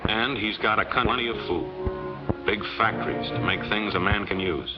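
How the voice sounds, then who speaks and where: A man's voice: lowish voice, moderate pace, lightly acted. A man with an American accent narrating a 1940s film in a folksy, emphatic way. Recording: dull 1940s film soundtrack with music.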